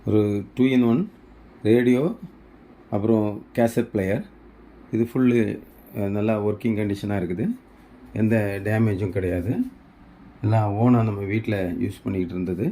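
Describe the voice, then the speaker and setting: A man's voice: on the low side, fairly even intonation, normal speed, pauses often, mellow, a little hiss or hum. A man in a sales demo video, plainly describing a two-in-one radio cassette player. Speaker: member selling a tape recorder.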